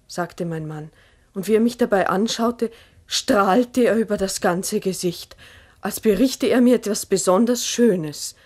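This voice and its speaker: An actress playing a farmer's wife who earnestly recounts strange happenings in a measured, literary 1960s German radio play monologue. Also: female voice, medium pitch, a little mellow, natural voice. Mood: wondering, earnest. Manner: deliberate, literary, earnest storytelling.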